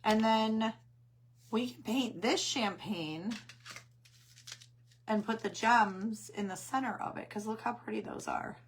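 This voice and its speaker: Hoarse, squeaky older woman chatting. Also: slow, pauses often, a little hiss or hum. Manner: chatty, rambling, reads viewer comments aloud. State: hoarse, losing her voice. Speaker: craft livestream host. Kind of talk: casual livestream chat while painting.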